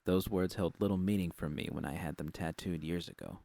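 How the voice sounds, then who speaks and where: A man's voice: deep voice, a little fast, gravelly, dark tone, dry recording. A man reading scripted first-person fiction as an audiobook narrator.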